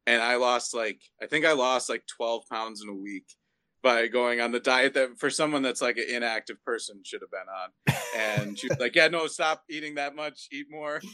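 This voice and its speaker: A man in his mid-thirties, a zookeeper guest talking casually in an easygoing, halting way. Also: medium pitch, sharp tone, no room sound. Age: 30s. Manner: easygoing, hesitant restarts. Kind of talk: casual interview chat.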